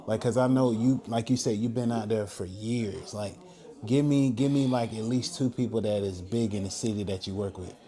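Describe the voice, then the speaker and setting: Mellow easygoing podcast host man. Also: fairly low pitch, fairly even intonation, normal speed. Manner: easygoing, laughs often, leans on filler phrases. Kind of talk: casual interview.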